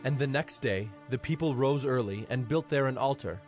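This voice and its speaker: A man's voice: medium pitch, moderate pace, darkish tone. A man giving a scripted narration of a dramatized scripture audio production. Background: background music.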